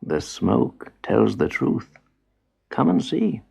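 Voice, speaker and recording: A man voicing a calm, wise old grandfather in a storybook, solemn, slow and measured. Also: mid-range voice, gravelly, breathy edge, voice pushed up, full character voice. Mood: calm, firm.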